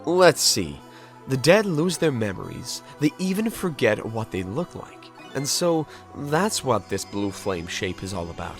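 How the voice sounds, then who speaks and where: A man playing a wry, kindly ghost detective with an expressive delivery in a game fandub. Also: mid-range voice, a little slow, music in the background. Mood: pondering. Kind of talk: animated game fandub acting.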